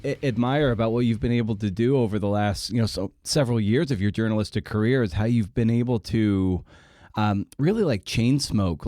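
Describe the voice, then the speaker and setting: A man's voice: lowish voice, warm tone, no echo, studio quality. A man hosting a podcast and interviewing, speaking in a casual, informal, slangy way.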